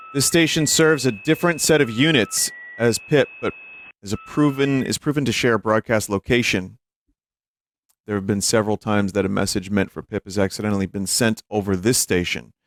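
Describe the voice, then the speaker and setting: A man's voice: lowish voice, dry recording, studio quality. A man hosting a livestream, reading text aloud and commenting casually. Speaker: streamer and host.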